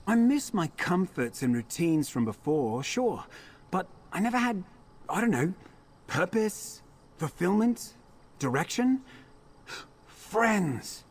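A man's voice: high-pitched, very animated, takes it slowly, pauses often, breathy edge, exaggerated voice. An actor playing a wistful, refined man of fine tastes in a fantasy game. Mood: wistful, musing. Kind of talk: scripted fantasy game voice acting.

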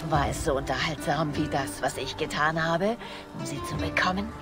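A woman's voice: deep voice, moderate pace, lightly acted. An actress playing a cynical, manipulative female spymaster, a proud betrayer who speaks with taunting self-assurance in a dubbed video game. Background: loud music.

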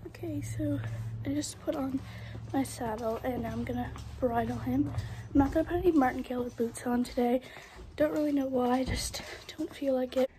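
A teenage girl vlogging casually about her horse at a barn, talking into a phone camera she holds close, chatty with fillers and quick asides. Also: high-pitched, fairly even intonation, dark tone, poor audio. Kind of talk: casual vlog narration. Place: horse barn and outdoor riding arena. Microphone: phone camera held close.

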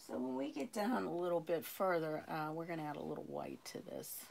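An older woman teaching a painting lesson, talking slowly and calmly while she works, losing her voice and clearing her throat often. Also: sits low. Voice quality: gravelly voice. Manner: slow, calm, unhurried talk. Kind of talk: live painting lesson, thinking aloud. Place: home art studio, indoors.

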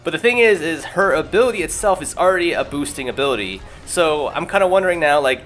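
A man's voice: a little high, fairly bright tone. A young man doing casual gaming commentary as a video creator, chatty, informal and self-deprecating. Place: voice-over recorded apart from the gameplay. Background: music.

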